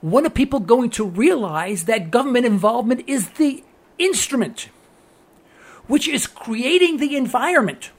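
A man's voice: very high voice, husky. A man with a New York accent hosting a political talk radio show, delivering an earnest, preachy monologue that repeats phrases for emphasis.

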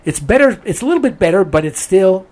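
A hoarse man with a throat infection, hosting a radio talk show from a studio in a chatty, apologetic way. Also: high-pitched, big pitch swings, fast talker, a little mellow.

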